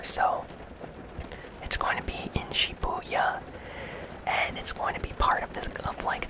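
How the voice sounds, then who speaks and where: Soft, breathy whispered ASMR voice. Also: rough recording. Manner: gentle, casual, unhurried delivery. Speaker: host of a gaming news channel. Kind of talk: whispered ASMR news commentary. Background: rain sounds.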